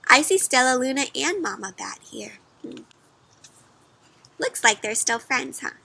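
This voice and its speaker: A woman reading a picture book aloud to children, expressively, pausing to ask the listeners questions. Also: high-pitched, animated, gravelly, bright, forceful tone, slightly rough. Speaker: read-aloud storyteller for children.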